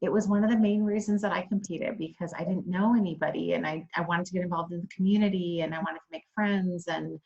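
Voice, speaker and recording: A woman's voice: on the low side, steady tone, fast talker, creaky, hardly any pauses, darkish tone, lo-fi sound. A woman from Hawaii hosting an interview, chatty and upbeat, readily agreeing with her guest. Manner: upbeat and chatty, affirming answers. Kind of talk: friendly interview.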